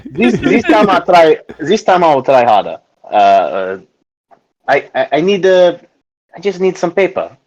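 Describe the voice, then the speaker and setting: A man's voice: a little high, very animated, a little slow, darkish tone, voice pushed up. A man voicing a polite, awkward customer in a put-on French accent, stammering and flustered. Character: polite, awkward young man shopping for paper. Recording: dull lo-fi audio.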